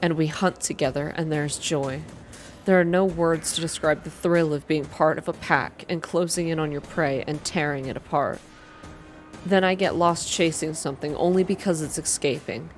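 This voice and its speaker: A woman's voice: sits low, moderate pace, faint music behind. A woman hosting a reading channel, speaking in an ASMR style for her listeners. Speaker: video game reader and channel host. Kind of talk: ASMR narrated reading and casual updates to viewers.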